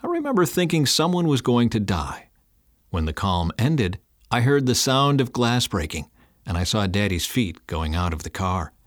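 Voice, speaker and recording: A man's voice: lowish voice, very animated, moderate pace, no room sound, clean studio sound, pitched above the performer's own voice. A man voicing a young boy in an audiobook, telling his memory simply and earnestly. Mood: wondering, earnest. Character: young boy earnestly recalling a car crash.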